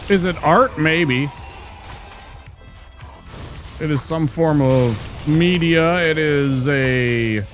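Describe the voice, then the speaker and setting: Relaxed man chatting on a game stream. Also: a little high, slow, faint music behind. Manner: relaxed, chatty, muses aloud to chat. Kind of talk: casual live-stream chat while playing.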